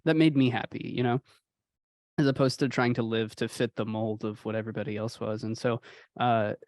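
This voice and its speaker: Relaxed young man from Houston. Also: medium pitch, fairly quick, dry recording, clean studio sound. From Houston, Texas. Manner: relaxed, uses many fillers like "you know". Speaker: podcast guest, sound engineer. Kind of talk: casual reflective storytelling.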